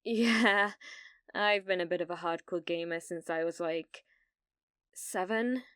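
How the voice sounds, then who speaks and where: A British woman playing a needy, delusional young gamer in an ASMR roleplay, hesitant and stammering, blithely casual about dark things. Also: lowish voice, measured pace, clear, resonant tone, no room sound, clean studio sound. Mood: casual, chatty.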